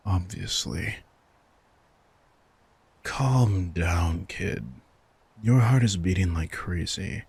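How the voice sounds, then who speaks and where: A man playing a sardonic, ancient vampire king in an intimate roleplay audio drama, low and gravelly, teasing with dry menace. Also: a little mellow, no echo, full character voice. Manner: unhurried, dry, casual swearing, teasing menace. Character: sardonic centuries-old male vampire king, hungry and teasing.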